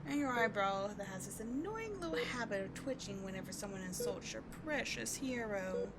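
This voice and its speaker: A woman's voice: mid-range voice, lively intonation, moderate pace, heavy background noise, lightly acted. A woman playing a sardonic, commanding supervillain in a scripted audio roleplay, biting and contemptuous as she tends a captive sidekick. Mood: brisk, scornful.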